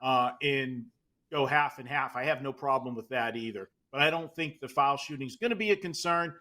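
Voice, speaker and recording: A man's voice: mid-range voice, brisk, sharp tone. A man from Pittsburgh with a Pittsburgh accent, a guest handicapper giving confident, matter-of-fact betting analysis.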